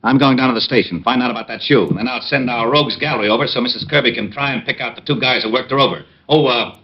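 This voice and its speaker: An American actor playing a brusque, easily exasperated police lieutenant giving clipped orders in old radio drama style. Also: male voice, mid-range voice, quick pace, husky. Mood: businesslike. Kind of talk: 1940s-50s radio detective drama acting. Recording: dull vintage radio recording.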